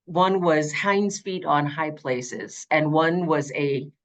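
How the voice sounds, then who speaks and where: A middle-aged woman with an alto voice, telling her story emphatically as an interview guest. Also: takes it slowly. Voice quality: low alto, changed by vocal nodules. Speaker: guest, audiobook narrator.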